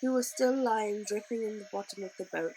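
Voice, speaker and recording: A woman's voice: medium pitch, fairly quick, dark tone, a little hiss or hum, poor audio. A woman narrating a children's novel expressively, as a storybook reader.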